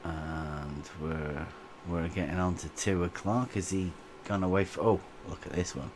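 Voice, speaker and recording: A man's voice: low-pitched, fairly even intonation, a little slow, noisy background. A man co-hosting a livestream, chatting casually and chuckling as he reads out viewer comments. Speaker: livestream co-host.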